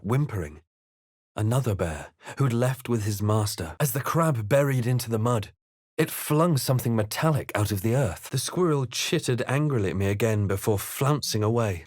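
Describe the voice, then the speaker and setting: Man acting a low-voiced, measured fantasy adventurer. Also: a little mellow, no room sound, clean studio sound, lightly acted. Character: male adventurer hero, brooding, measured, wry. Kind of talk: scripted game voice lines and narration.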